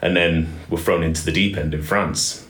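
A man's voice: low-pitched, steady tone, moderate pace, a bit bright. A man who volunteers as a living history reenactor, talking earnestly and reflectively in a casual interview, with some restarts. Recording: echoey room.

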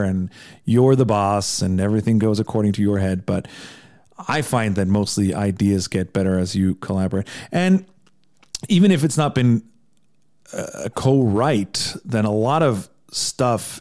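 Songwriter, relaxed interview talk. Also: male voice, fairly low pitch. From Iceland. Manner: relaxed, thoughtful, with frequent restarts. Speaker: songwriter guest. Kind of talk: casual interview chat.

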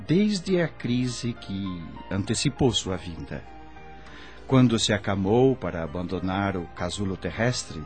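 Slow, expressive spirit mentor. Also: male voice, lowish voice. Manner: didactic, formal, measured diction. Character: patient, kindly male spirit guide and mentor. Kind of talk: radio drama acting.

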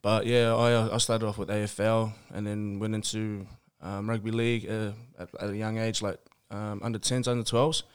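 A man's voice: lowish voice, level tone, moderate pace, few pauses, smooth. A man from Melbourne with an Australian accent, chatting casually as an interview guest in a laid-back, hesitant, colloquial way. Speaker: podcast guest, rugby player.

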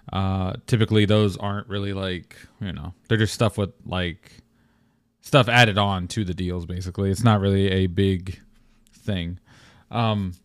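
A young man hosting his own podcast alone, with a deep morning voice, talking in a rambling, relaxed way with lots of fillers and restarts. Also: clear, resonant tone, no room sound, studio quality. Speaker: podcast host. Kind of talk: casual solo podcast talk.

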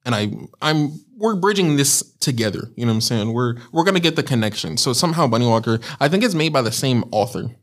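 A man with an ordinary mid-range voice chats casually as a podcast co-host. He talks in a laid-back way, working through his points slowly with plenty of um and like. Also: mid-range voice, expressive. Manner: laid-back, explains at length with fillers. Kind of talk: casual chat.

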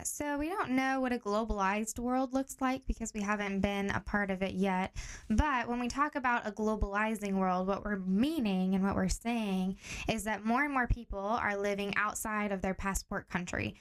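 A young adult woman from Tanzania, a guest on a podcast, calmly explaining ideas step by step with examples. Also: mid-range voice, moderate pace. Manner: calm, steady explaining with clear examples. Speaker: guest, director of training.

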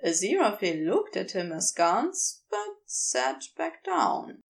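A woman's voice: medium pitch, huge pitch swings, takes it slowly, gravelly. A woman reading a scripted piece of fiction as its narrator.

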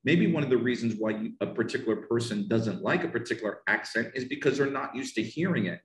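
A man's voice: mid-range voice, animated, fast, roomy sound, low-quality recording. A man from the United States with a Northern New Jersey accent, an English pronunciation teacher talking emphatically and passionately on a podcast, often repeating himself for stress.

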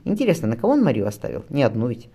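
A man voicing a worried, determined wife and mother in a historical novel, fretful but brisk and affectionate. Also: mid-range voice, wildly swooping pitch, dark tone, husky, exaggerated voice. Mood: brisk, concerned.

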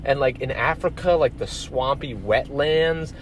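A man's voice: mid-range voice, a little slow, a crowd in the background. A man about twenty, co-hosting a casual podcast, rambling with lots of "like" and some swearing. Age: young adult. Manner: rambling, heavy on fillers and swearing. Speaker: podcast co-host. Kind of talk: casual chat.

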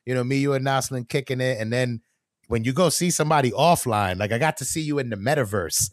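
A man's voice: medium pitch, fast, dry recording, clean studio sound. A man chatting casually as a podcast co-host in a slangy, effusive way. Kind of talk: casual chat.

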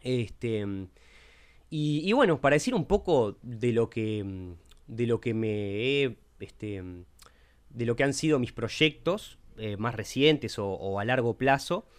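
A young man with a Uruguayan accent, co-hosting a casual podcast chat in a relaxed, rambling way with frequent fillers. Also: medium pitch, slow. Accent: Uruguayan Spanish. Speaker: podcast co-host.

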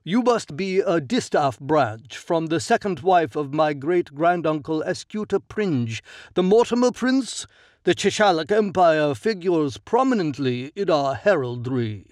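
A man's voice: on the high side, animated, unhurried, a little mellow, dry recording, clean studio sound, pitched above the performer's own voice. A man putting on a lofty, pious young count. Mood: courtly. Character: earnest pious young male count, cherubic and devout.

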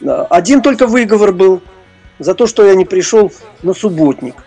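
An older man reminiscing in an interview, speaking haltingly and searching for his words. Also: high-pitched, lively intonation, dark tone, faint music behind. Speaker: interview guest, retired prison-service officer and former stage performer.

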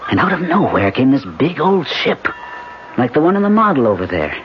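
A man's voice: mid-range voice, very animated, close to an everyday voice. An actor playing an anxious, earnest father of a young boy in a 1970s radio drama. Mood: grave, steady. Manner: earnest, halting when flustered. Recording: muffled, hissy old radio recording.

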